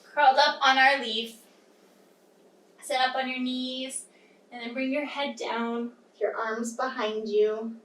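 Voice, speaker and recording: A woman's voice: high voice, fairly even intonation, slow pace, fairly bright tone. A young woman teaching a children's yoga storytime, giving simple, encouraging instructions and reading aloud to young children. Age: young adult. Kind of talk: guided kids' yoga lesson with read-aloud storytelling. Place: small indoor room with wooden floor. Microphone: camera across the room. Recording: roomy echo, distant microphone.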